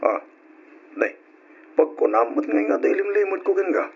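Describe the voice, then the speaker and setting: A man's voice: sits high, sing-song, normal speed, gravelly, breathy edge, full character voice. An actor playing an evasive two-timing husband caught out in an Ilocano radio drama, stammering and stalling as he talks. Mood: evasive, nervous. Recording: dull old radio drama recording.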